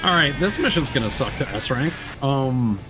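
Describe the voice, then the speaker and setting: Animated male game streamer over music. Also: medium pitch, moderate pace, rough recording. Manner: reactive, rambling, exclaiming. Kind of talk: casual live gameplay commentary. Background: game music and sound effects.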